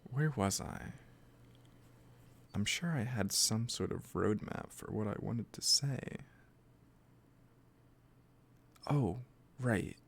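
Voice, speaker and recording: Casting: male actor as lovesick, possessive kidnapper for roleplay audio. Gravelly low voice, gentle and coaxing. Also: heavily acted. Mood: possessive, smitten. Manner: slow, hesitant, gently coaxing. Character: obsessive lovesick kidnapper, soft-spoken and possessively sweet. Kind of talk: roleplay audio drama, addressing the listener directly.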